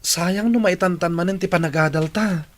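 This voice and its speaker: An actor playing a dutiful, reassuring young student son in a radio drama. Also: male voice, high voice, moderate pace, natural voice. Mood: earnest.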